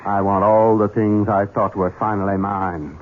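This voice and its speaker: A man's voice: lowish voice, flat delivery, unhurried, lightly acted. An actor playing a resentful, menacing man in his thirties ruled by his mother, in a theatrical, sardonic vintage radio mystery style. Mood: resentful, agitated. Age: 30s. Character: bitter, resentful grown son, 38, menacing and mother-dominated. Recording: dull lo-fi vintage radio, music behind.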